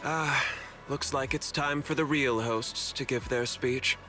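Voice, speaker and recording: Bright-voiced, polished corporate manager. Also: male voice, medium pitch, fairly even intonation, soft background music. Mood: composed, explanatory. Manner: courteous, measured, theatrical. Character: polished, smooth-talking male corporate manager, amiable and shrewd. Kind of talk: English video game dub acting.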